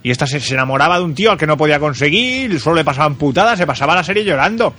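A man's voice: a little high, animated, racing, bright, forceful tone, soft background music. A man with a Spain Spanish accent co-hosting casual comedic radio chat, jokey and repeating words for emphasis. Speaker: radio co-host.

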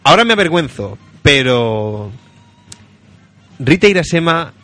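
A man's voice: a little high, sing-song, unhurried, fairly bright tone. A man from Spain with a Spanish accent hosting a radio show, chatting casually and jokily and reading out listener messages. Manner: jokey, colloquial. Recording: music playing behind the talk. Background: music.

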